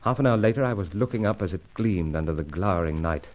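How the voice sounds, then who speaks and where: An actor playing a steady, adventurous British secret agent in a radio serial, with old-fashioned heroic phrasing. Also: male voice, low voice, quick pace, highs cut off. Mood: tense narration.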